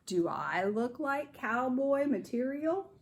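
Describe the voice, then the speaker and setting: An anxious little boy in a cowboy storybook, performed by a woman. The voice is high and hesitant, full of questioning self-doubt. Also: big pitch swings, slow pace, husky, exaggerated voice. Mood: nervous.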